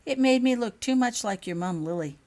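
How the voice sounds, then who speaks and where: A woman voicing a timid, curious young schoolgirl with a hesitant, halting manner in a storybook reading. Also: on the high side, expressive, a little mellow, pitched above the performer's own voice. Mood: shy.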